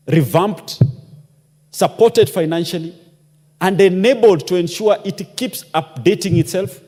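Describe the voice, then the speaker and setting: A man's voice: sits high, darkish tone. A man giving an impassioned parliamentary speech, firing off rhetorical questions as a member of parliament. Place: parliamentary chamber. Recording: long reverb of a large chamber.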